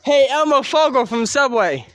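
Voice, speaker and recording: High-pitched, animated young vlogger, noisy recording. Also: normal speed. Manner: excitable, shouting, theatrical. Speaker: vlogger filming and narrating. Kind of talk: excited improvised vlog play-acting. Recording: very noisy handheld home video recording.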